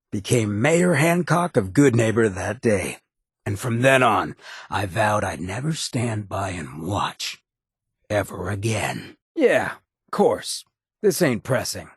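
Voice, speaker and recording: An actor playing a roguish ghoul mayor in a video game, a swaggering former drifter with a very raspy, gravelly voice and a cocky, streetwise drawl. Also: male voice, mid-range voice, no echo, clean studio sound, heavily acted. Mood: casual, easygoing. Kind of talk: video game companion dialogue.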